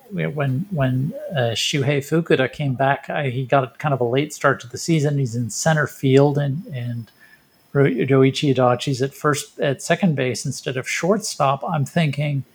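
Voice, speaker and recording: A man's voice: a little high, breathy edge. A man co-hosting a sports podcast, giving casual, reflective baseball commentary and thinking aloud.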